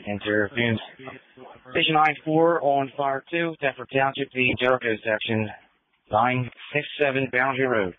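A man's voice: medium pitch, dry recording. A man working as an emergency dispatcher, reading fire and EMS calls over a two-way radio in clipped, formal phrasing. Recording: phone-like fire radio transmission.

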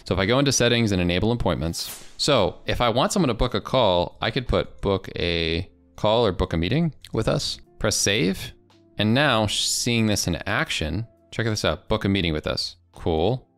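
A man in his twenties with an American accent, presenting a casual software demo into a close desk microphone in a small home studio. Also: lowish voice, expressive, moderate pace, faint music behind. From United States. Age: 20s. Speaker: presenter of software review videos. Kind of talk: casual product demo narration.

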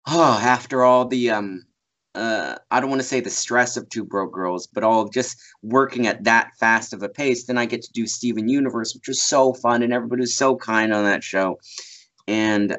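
A man in his thirties chats loosely from a small room at home, circling back with fillers and quick laughs. His voice sits a little low. The video call audio is muffled and dull. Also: fairly bright tone. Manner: rambling, laughs often, many fillers and restarts. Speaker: voice actor guest. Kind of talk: casual interview chat.

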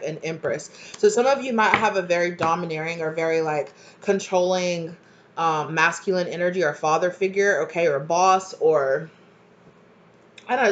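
A woman's voice: lowish voice, slight background noise. Chatty stuffed-up woman reading tarot. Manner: chatty, reassuring, lots of fillers and asides. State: slightly stuffed up from a cold. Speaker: tarot reader. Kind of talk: casual channeled tarot reading to camera. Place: home kitchen, indoors. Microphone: webcam at desk distance. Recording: slightly dull webcam audio.

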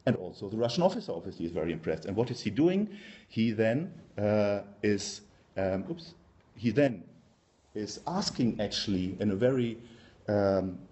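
German guy doing a conference talk, low mellow voice, kinda halting, stops a lot. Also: expressive. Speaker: university professor, conference speaker. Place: lecture room, indoors.

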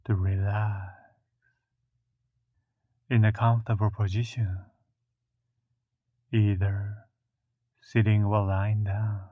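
Male meditation guide: very slow, even-toned, soothing delivery in short phrases with long pauses, through a thin phone-like recording. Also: on the low side, no echo. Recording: muffled, narrow phone-like sound.

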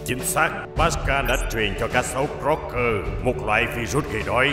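A man's voice: mid-range voice, normal speed, close to an everyday voice. Man as echoing, stern cartoon squad commander. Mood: grave. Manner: authoritative, urgent. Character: stern animal commander briefing his little squad. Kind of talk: animated cartoon dub. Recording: echoing reverberant room, music behind.

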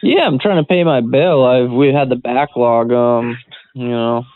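A man's voice: mid-range voice, a little slow, no room sound. Male prank caller, casual and rambling with a straight-faced earnestness, on a narrow dry phone line. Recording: band-limited phone line.

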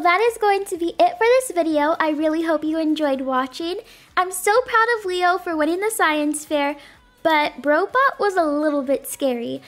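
A woman's voice: very high-pitched, fairly bright tone. A woman hosting and narrating a scripted roleplay video. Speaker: video creator and host.

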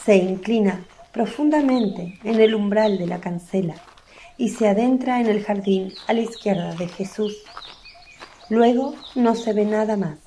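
Mellow-voiced woman narrator. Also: medium pitch, measured pace, slight background noise. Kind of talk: scripted narration of a religious radio drama. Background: faint music.

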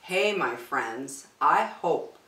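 In a roomy, echoing space, a middle-aged woman reads a rhyming storybook for children. Her voice sits a little low, and she goes very slowly, drawing words out with deliberate emphasis. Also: lively intonation, bright, forceful tone. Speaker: children's story time host. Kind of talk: expressive read-aloud of a rhyming picture book for children. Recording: roomy indoor echo.

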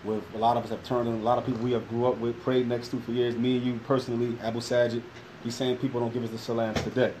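A man asking an interview question in a small classroom, halting with fillers and restarts. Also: mid-range voice, flat delivery, a little mellow. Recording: noisy room ambience.

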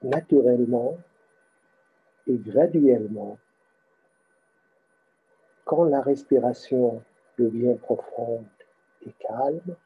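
A man guiding a meditation as its teacher, speaking haltingly in short phrases with pauses between them. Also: mid-range voice, slightly rough, narrow, tinny audio. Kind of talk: guided meditation instruction.

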